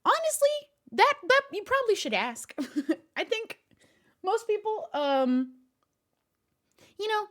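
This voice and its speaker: A woman streamer chats casually while reacting to a video, laughing easily and often. Her voice sits high and swoops up and down. The talk is loose, off-the-cuff commentary. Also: slow, pauses often. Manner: giggly, animated, talks to a pet.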